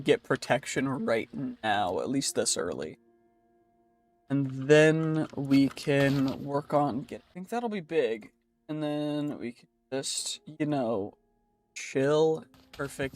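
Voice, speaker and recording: A man's voice: a little high, a little hiss or hum. A young man commentating his own gameplay while live streaming. He sounds congested from a cold and talks in an easygoing way, thinking aloud and reassuring himself.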